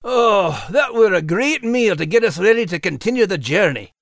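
Storybook dog, Scottish, folksy and cheeky, animated swings in pitch, dry close recording. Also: male voice, moderate pace, no echo. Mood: curious, chatty. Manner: teasing, blunt, folksy. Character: cocky, sarcastic male terrier dog with a soft heart. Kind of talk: audiobook character acting.

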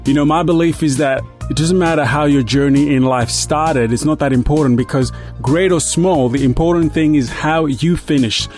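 A man's voice: on the high side, moderate pace, a little mellow, faint music behind. A man hosting a business podcast, enthusiastic and full of superlatives. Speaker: podcast host. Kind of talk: upbeat podcast intro and interview.

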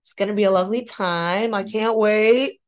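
A woman's voice: medium pitch, moderate pace. A woman in her forties chatting casually at home as a podcast guest, with easy laughter and the odd casual swear word. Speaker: podcast guest, host's fiancée. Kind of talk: casual chat. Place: home living room.

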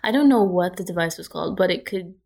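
A woman's voice: lowish voice, lively intonation, fast talker, creaky, darkish tone. A woman speaking as an interview guest, casual and reflective, often trailing off in mid-thought. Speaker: podcast guest, storyteller.

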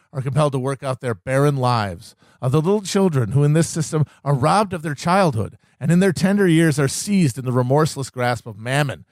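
A man's voice: mid-range voice, darkish tone, no echo. A man giving a solemn, oratorical reading of a historical political speech.

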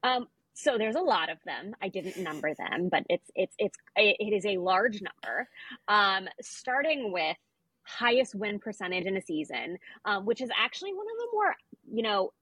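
A woman's voice: medium pitch, big pitch swings, normal speed, fairly bright tone, husky, no room sound, rough recording. A woman co-hosting a podcast, chatting casually about sport with wry asides. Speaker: podcast co-host. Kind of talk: casual sports chat, recapping records.

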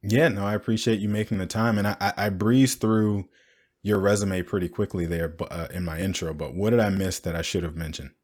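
A man with a very low voice hosts a finance podcast. He opens with a steady, explanatory read and then moves into easy conversation with his guest. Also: fairly quick. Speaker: podcast host. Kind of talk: scripted intro then conversational interview.

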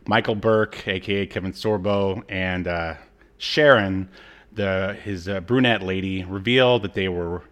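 A man co-hosting a podcast, giving a casual, informal recap in a halting storytelling manner. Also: sits low, a bit bright. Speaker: podcast co-host. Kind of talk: casual episode recap chat.

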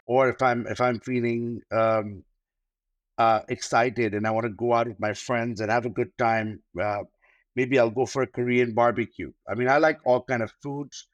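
A man's voice: medium pitch, fairly even intonation, moderate pace, no echo, highs cut off. A man, a luxury wedding planner, answering interview questions in a thoughtful way, with fillers and restarts. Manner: thoughtful, with many fillers and repeated starts. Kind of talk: podcast interview.

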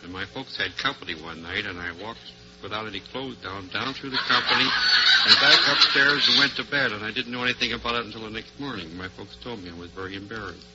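A man's voice: fairly low pitch, fast talker. A man answering plainly as a contestant on an old-time radio quiz show. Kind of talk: plain anecdote-telling in a radio interview. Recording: noisy, dull vintage radio recording.

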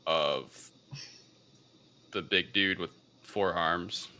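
Deep-voiced man with a very sharp, forceful sound. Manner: halting, rambling recounting. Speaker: podcast host. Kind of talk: casual film review discussion.

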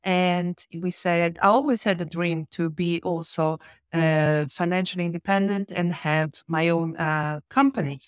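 A woman's voice: fairly low pitch, clear, resonant tone, no room sound. Woman speaking in a dry, close sound. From Switzerland and southern Italy. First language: Italian. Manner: explanatory, steady, frequent pauses with "uh". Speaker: guest, change and communication manager. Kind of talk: podcast interview.

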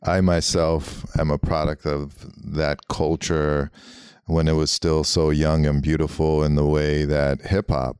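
A man's voice: very deep voice, flat delivery, a little slow, darkish tone, smooth, no room sound, clean studio sound. A man from Los Angeles whose first language is Armenian, a guest artist and architect musing in a meandering way with many fillers. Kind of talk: reflective interview talk.

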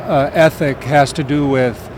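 A man's voice: medium pitch, steady tone, measured pace, slight background noise. Enthusiastic man hosting a chat. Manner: enthusiastic, complimentary. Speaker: podcast host. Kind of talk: casual interview chat.

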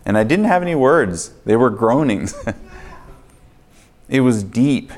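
A man preaching in a church, earnest and swinging between quiet passages and emphatic ones. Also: medium pitch, big pitch swings, a little slow. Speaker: pastor. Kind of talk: sermon. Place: church sanctuary.

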